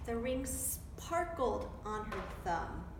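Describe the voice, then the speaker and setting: A woman reading a children's storybook aloud in an animated, dramatic way, pausing to put questions to her young listeners. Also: mid-range voice. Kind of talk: expressive children's storybook read-aloud with asides. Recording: roomy echo, background noise.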